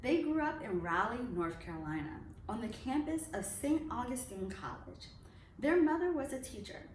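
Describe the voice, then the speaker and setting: A woman speaking as host of a children's reading series, addressing families and narrating a storybook in an expressive, carefully enunciated way. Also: on the high side, normal speed. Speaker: club president hosting a children's reading series. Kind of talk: welcome speech and read-aloud storybook narration for children. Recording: roomy echo, distant mic, background noise.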